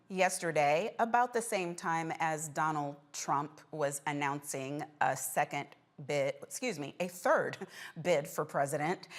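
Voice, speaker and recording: An American woman hosting a political panel discussion, speaking in an insistent, pointed way. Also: lowish voice. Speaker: news commentary show host.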